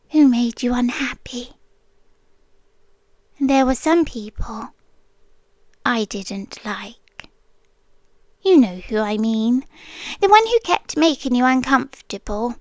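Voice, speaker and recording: A British woman voicing an obsessively protective monster girlfriend in an intimate, soft-spoken ASMR roleplay, often slipping into whispers. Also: sits high, no room sound. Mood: obsessive, quietly menacing. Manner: slow, hushed, often dropping into whispers. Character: possessive, obsessively protective female monster girlfriend, stalker guardian. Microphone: close ASMR microphone, dry sound.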